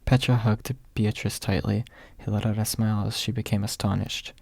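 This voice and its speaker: A man narrating an ASMR audiobook in a hushed, husky voice, close to the microphone. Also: lowish voice, flat delivery, normal speed, dry recording. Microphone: close microphone, dry.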